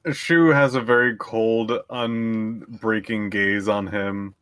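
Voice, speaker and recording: A man playing in a tabletop role-playing game, speaking in a measured, calm way with hesitant pauses. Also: lowish voice, clean tone, no room sound. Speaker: player.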